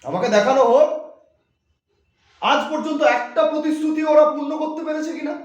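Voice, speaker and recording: A man's voice: extremely high pitch, brisk, muffled recording. A man playing a righteous, indignant opposition politician in a theatrical monologue, declaiming emphatically phrase by phrase to rally wronged voters. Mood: grave, accusing. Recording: very echoey, reverberant room sound.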